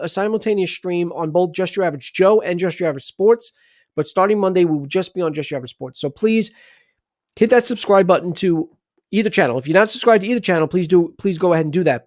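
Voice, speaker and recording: A man's voice: sits high, fast talker, no room sound, studio quality. A man hosting a casual sports livestream, talking in a rambling, chatty way with many restarts.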